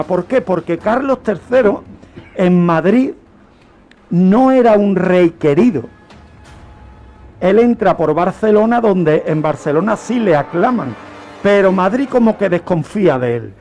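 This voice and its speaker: A man with a Spanish accent from Spain speaks in a high voice over faint music. He takes his time, explaining history like a teacher on the radio and putting questions that he then answers himself. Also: a little mellow. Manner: didactic, poses questions and answers them. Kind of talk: unscripted radio history storytelling.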